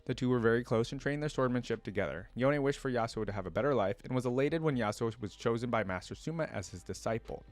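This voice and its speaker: A man hosting a reaction video, reading lore aloud and breaking in with informal, off-the-cuff commentary as he thinks out loud. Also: mid-range voice, fast, hardly any pauses, dry recording. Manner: informal, thinks aloud between read passages.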